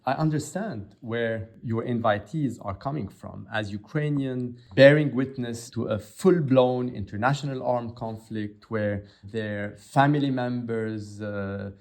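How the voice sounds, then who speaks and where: A man from Lebanon, the head of an aid agency, answering interview questions in a halting way with many filler sounds. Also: medium pitch, a little mellow.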